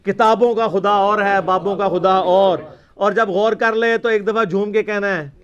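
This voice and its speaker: A man's voice: high-pitched, fairly even intonation, moderate pace, a bit bright, muffled recording. A man with a Pakistani Punjabi accent answering religious questions in an informal lecture, arguing emphatically with rhetorical questions and slipping into Punjabi.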